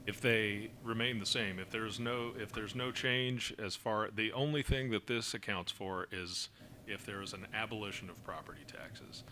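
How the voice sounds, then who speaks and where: A man from the fire service answering council questions in a steady, explanatory way, with fillers and restarts, speaking into a desk microphone in a council chamber. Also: fairly low pitch, moderate pace. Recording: noisy meeting room recording.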